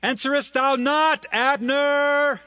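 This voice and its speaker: High, bright, earnest young warrior. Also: male voice, takes it slowly, dry recording, studio quality, exaggerated voice. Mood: accusing. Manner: declaiming, pleading, archaic solemn diction. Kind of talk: dramatized scripture acting. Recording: music under the voice.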